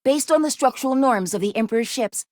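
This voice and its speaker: A woman's voice: sits high, fast, a little mellow, no room sound, studio quality, lightly acted. An actress voicing a timid, earnest, bookish young female robot in a video game, with polite, formal and precise diction. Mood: curious, matter-of-fact. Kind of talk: video game character voice lines.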